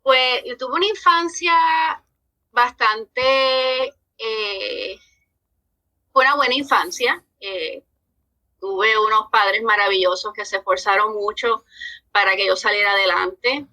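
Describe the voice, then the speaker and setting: A woman with a Puerto Rican accent, a social worker guest talking about her life in a podcast interview, earnest and measured, with frequent "eh" pauses. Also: medium pitch, sharp tone, poor audio. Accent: Puerto Rican Spanish. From born in New York, raised from age eleven in Puerto Rico.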